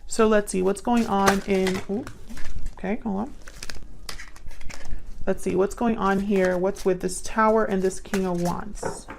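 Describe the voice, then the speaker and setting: A woman reads tarot cards aloud with a noticeably creaky, gravelly edge. Her pitch stays in a narrow, level band. She muses as she goes, pausing to think out loud and addressing her viewers directly. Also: fairly even intonation, a little hiss or hum. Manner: musing, halting, thinking aloud while reading cards. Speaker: tarot reader, host. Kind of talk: improvised tarot card reading to viewers.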